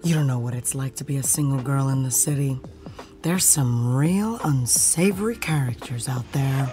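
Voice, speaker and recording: An actress playing a lonely, guarded young vampire hunter in an audio drama. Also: female voice, a bass voice, normal speed, mellow, faint music behind. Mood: wary, hurt.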